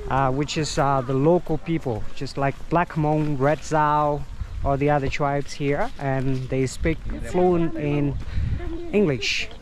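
A man with a Vietnamese accent, a tour guide vlogging casually while trekking outdoors, speaking halting English and searching for words, recorded on an action camera. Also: a little high. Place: outdoors on a mountain trail. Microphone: action camera carried along.

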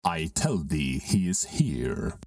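A vengeful dark lord in a Shakespearean drama reading. The voice is very low and grave, swinging hugely in pitch, and sits a little distant from the microphone. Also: male voice, sing-song, slow, dry recording, studio quality, soft background music, heavily acted. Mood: grave, certain. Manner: grave, declamatory.

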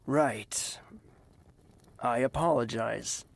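A man's voice: medium pitch, very slow, vocal fry, heavily acted. A man playing a proud, indignant young royal in an audio drama, haughty and clipped. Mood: restrained.

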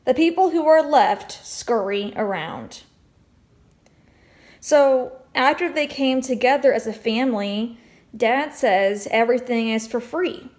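Woman, high voice, expressive storybook read-aloud, teacher addressing children, explanatory asides. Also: slow. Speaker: teacher reading aloud to fifth graders.

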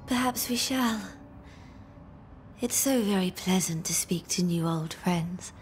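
Game role: lonely woman, wistful and fragile, slow drifting delivery, faint ambience. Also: fairly low pitch, a little hiss or hum. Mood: dreamy. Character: dreamy, fragile, lonely woman lost in fog. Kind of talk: dramatic game voice acting.

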